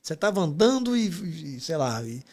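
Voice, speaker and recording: A man's voice: on the high side, very animated, fairly quick, darkish tone. A Brazilian man hosting a podcast, chatting casually and informally as he questions and agrees with his guest. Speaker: podcast host. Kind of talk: casual interview chat.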